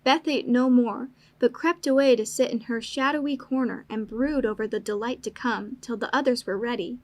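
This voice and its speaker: A woman's voice: fairly high pitch, normal speed. A woman narrator reading a classic family story in a scripted storybook style.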